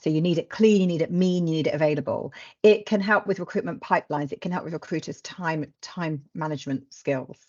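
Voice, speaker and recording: Low-voiced woman, dull call audio. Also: fairly quick, few pauses, a little mellow. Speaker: host. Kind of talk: video call discussion. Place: open-plan office, on a video call. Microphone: video call microphone.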